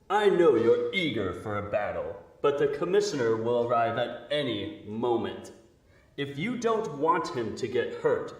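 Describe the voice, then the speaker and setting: A man plays a smug, menacing villain from an old radio serial. His delivery is deliberate and slow, swooping in pitch. It sounds echoey and set back from the mic. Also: recorded from a distance. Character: Dark Shadow, smug scheming invisible villain, extorting the city. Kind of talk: 1930s radio drama acting.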